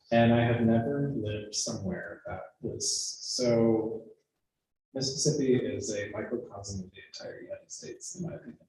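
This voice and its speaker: A man's voice: on the low side, warm tone. A young man from Michigan, a law student answering panel questions in an earnest way and laughing easily. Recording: very echoey room, faint noise.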